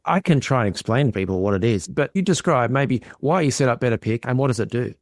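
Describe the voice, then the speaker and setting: A man's voice: mid-range voice, animated, quick pace, dry recording, clean studio sound. A man hosting a conversational interview podcast in a chatty, self-deprecating and appreciative way. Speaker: podcast host.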